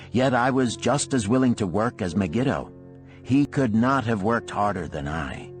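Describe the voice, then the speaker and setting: A man reading a storybook parable as an audiobook narrator, in a measured, solemn way with deliberate phrasing. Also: deep voice.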